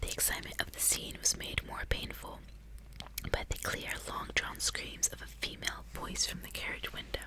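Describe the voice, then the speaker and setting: A woman reading aloud in a soft, breathy, hushed whisper, in an intimate ASMR style. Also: normal speed, low-quality recording. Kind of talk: whispered ASMR audiobook reading with casual asides.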